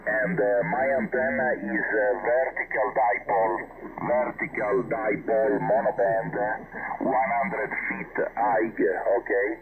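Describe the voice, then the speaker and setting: A man's voice: lowish voice, fairly even intonation, vocal fry. Italian man on crackly, narrowband ham radio. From Italy. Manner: halting, repetitive, spells out in phonetic alphabet. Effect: shortwave radio voice. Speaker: amateur radio operator. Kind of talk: ham radio contact exchange. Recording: noisy narrowband shortwave radio transmission.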